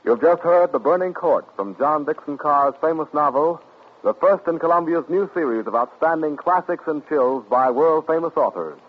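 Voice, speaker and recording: A man's voice: a little high, expressive, moderate pace, slightly rough. Polished 1940s radio announcer, man. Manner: polished, ceremonious. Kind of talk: formal 1940s broadcast announcing. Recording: tinny 1940s radio broadcast.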